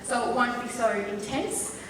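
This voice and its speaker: A woman's voice: on the high side, fairly even intonation, measured pace, fairly bright tone. A woman with an English accent, a medium giving a chatty, joking live talk to an audience. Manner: chatty, joking, explaining with laughs. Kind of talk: live stage demonstration talk. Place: large echoey festival hall. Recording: roomy hall, far from the mic, faint hiss.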